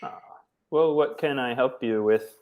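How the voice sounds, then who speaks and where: A man's voice: mid-range voice, measured pace, narrow, tinny audio. Man, english tutor, easygoing, encouraging, lots of mm-hmms, video call. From United States. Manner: relaxed, encouraging, many short affirmations. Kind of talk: casual tutoring chat.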